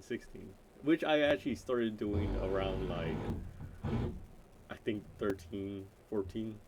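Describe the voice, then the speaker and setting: A deep-voiced young man thinking aloud in a solo gaming channel intro, hesitant and meandering, with faint noise in the background. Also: a little mellow, low-quality recording. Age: young adult. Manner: rambling, hesitant, self-interrupting. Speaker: gaming vlogger introducing himself. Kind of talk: unscripted casual chat.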